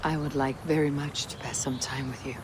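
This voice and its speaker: An actress playing a calm, loyal woman viking raider who speaks in a low-key, earnest way. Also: fairly quick. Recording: game cutscene with loud background noise.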